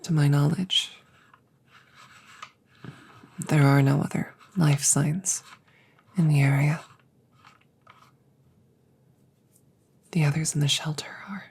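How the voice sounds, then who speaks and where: A woman voicing a gentle, protective damaged android soldier in a scripted ASMR roleplay, speaking breathily, often in a whisper, with slow, careful, formal phrasing. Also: low-pitched, steady tone, creaky, breathy edge, full character voice. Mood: grave, resolute.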